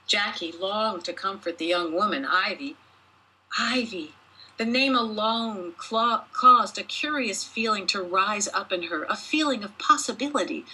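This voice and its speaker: A woman author reading her own short story aloud as expressive storybook narration, with deliberate, dramatic phrasing. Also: medium pitch, husky, poor audio.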